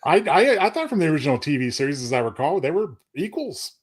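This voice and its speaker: A man's voice: a little high, big pitch swings, quick pace. A middle-aged or older man co-hosting a show, chiming in with casual reactions. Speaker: co-host of a retro radio show. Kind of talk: casual chat, brief reactions.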